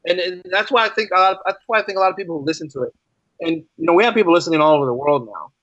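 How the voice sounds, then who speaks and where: A man's voice: high voice, very fast, a bit bright, no room sound, lo-fi sound. A talkative man speaking casually and enthusiastically as a guest in an interview. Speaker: podcast guest, writer and podcaster.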